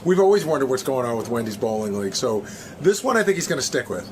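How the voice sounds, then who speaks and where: A man's voice: mid-range voice, big pitch swings, quick pace. A man on a radio show's staff giving casual explanations in an interview, doubling back on words. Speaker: radio show staff member being interviewed. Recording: faint background noise.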